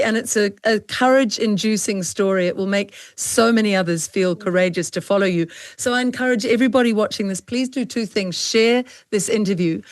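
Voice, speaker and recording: A woman's voice: medium pitch, no echo, clean studio sound. Earnest woman hosting a conversational interview. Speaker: interview host. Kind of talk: conversational online panel interview.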